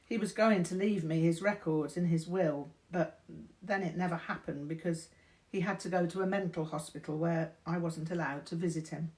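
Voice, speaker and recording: An older woman reading a memoir aloud in a measured, deliberate storytelling manner, indoors. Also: lowish voice, steady tone, darkish tone. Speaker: reader of a memoir. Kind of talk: audiobook-style narration of a memoir. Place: indoors, small room.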